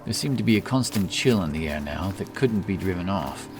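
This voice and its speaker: A man's voice: deep voice, expressive, a little fast, music in the background. A man narrating a dramatic fantasy story as an audiobook, over background ambience. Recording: clear background ambience under voice.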